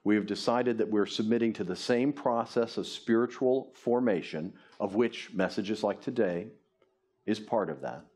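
A man's voice: lowish voice, moderate pace, darkish tone, breathy edge. A man in his fifties, a pastor preaching earnestly from a lectern on a church stage. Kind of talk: sermon. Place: church stage before a congregation.

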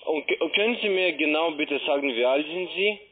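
A man speaking non-native German as a call centre agent, making a halting, repetitive sales pitch over the telephone. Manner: halting, repeats himself. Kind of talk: phone sales talk. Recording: muffled narrowband phone line.

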